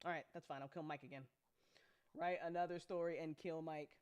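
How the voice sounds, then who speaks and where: A woman's voice: sits low, fairly quick, clear, resonant tone, no room sound. Informal, sweary woman host, close dry sound. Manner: animated, sweary, joking; informal speech with frequent y'all. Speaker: host and audio drama creator. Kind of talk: casual livestream chat answering audience questions.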